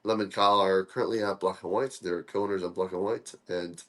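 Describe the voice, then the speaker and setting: A young man from Korea, a guest in a casual interview, talking in a relaxed, friendly way with lots of "uh" fillers. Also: sits low, a little fast. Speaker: guest, coffee dripper co-founder.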